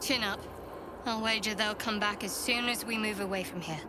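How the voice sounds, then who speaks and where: A composed female half-elf cleric from a fantasy game, played by a woman, in a mid-pitched voice. She is guarded and dryly teasing, with a supportive streak. There is loud ambient noise behind her. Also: fairly even intonation, normal speed, close to an everyday voice. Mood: wry, encouraging. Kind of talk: video game character acting.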